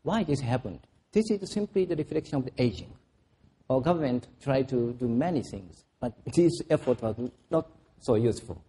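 A man on a panel discussion giving a measured explanation with some hesitations. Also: mid-range voice, lively intonation, dark tone, husky.

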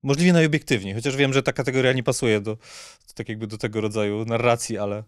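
A man's voice: mid-range voice, fairly even intonation, quick pace, smooth, dry recording. A man in his thirties hosts a casual interview, repeating and rephrasing himself, close on a studio microphone. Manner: stumbling, repeats and rephrases questions. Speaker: podcast host, interviewer. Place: small podcast studio, indoors. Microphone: podcast microphone on boom arm, close.

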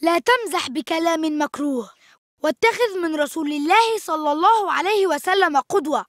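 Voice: extremely high pitch, lively intonation, normal speed, a bit bright, no room sound, studio quality. An actor voicing an earnest boy in a cartoon, who speaks to his brother in an instructive manner. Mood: earnest, advising. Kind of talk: animated cartoon dialogue.

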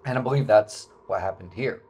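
Low-voiced man narrating a travel vlog. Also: fairly quick, a little hiss or hum. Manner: relaxed, explanatory, with frequent "uh" fillers. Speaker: travel vlogger. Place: indoor room, then voice-over on hike footage.

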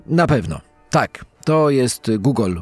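A man's voice: medium pitch, very animated, slow, slightly rough, no room sound, soft background music, exaggerated voice. A man playing a hesitant, muddled male quiz contestant thinking aloud in a dramatized reenactment. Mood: puzzled, wavering.

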